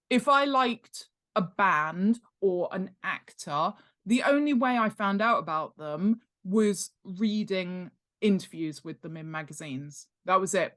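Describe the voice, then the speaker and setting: A middle-aged British woman talking conversationally as an expert podcast guest, stressing points emphatically by repeating words. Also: mid-range voice. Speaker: guest, author and world-building expert. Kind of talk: conversational explanation in a podcast interview.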